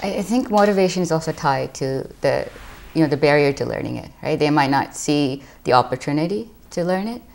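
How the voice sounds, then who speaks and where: A young woman with a notably low, gravelly voice speaks as a panel guest. She makes her case earnestly and checks in with "right?" after nearly every point. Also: animated, fairly quick, darkish tone, a little hiss or hum. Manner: earnest, keeps adding "right?". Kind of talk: panel discussion.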